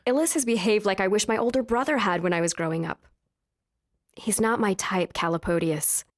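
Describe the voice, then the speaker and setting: Actress as young highborn wife for audio drama: candid, tender, unbending, mid-range voice. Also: female voice, fairly quick, no room sound, clean studio sound, close to an everyday voice. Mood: frank, composed.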